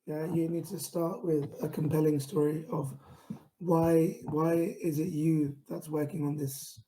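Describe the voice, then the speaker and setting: A man with a London accent speaking straight into the camera in an online talk. His voice is very dark and mellow, and he delivers it slowly in a level, monotone way. He pauses often, with many ums and restarts. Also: on the high side, a little hiss or hum, poor audio. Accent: London English. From England. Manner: self-described monotone, many fillers and restarts. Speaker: founder giving a pitching talk.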